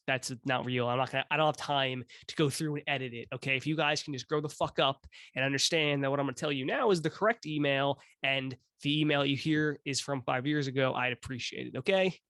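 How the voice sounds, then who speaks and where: A man's voice: medium pitch, fairly even intonation, a little fast, few pauses, fairly bright tone, no echo. A man around thirty hosting a podcast, talking casually in a rambling, joking and self-deprecating way, cursing now and then. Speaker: podcast host.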